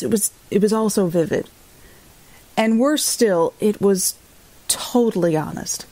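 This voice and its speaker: Defense attorney, slightly low voice, articulate, candid, emphatic, thoughtful audio drama interview. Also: female voice, gravelly, darkish tone, poor audio. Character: articulate, candid female defense lawyer recalling a trial.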